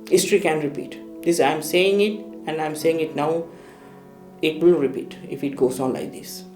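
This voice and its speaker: A middle-aged man from Sri Lanka, recalling his war memories in a hesitant documentary interview with many pauses and restarts. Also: on the high side, a little mellow. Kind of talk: documentary interview, personal recollection. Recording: echoey room with faint music.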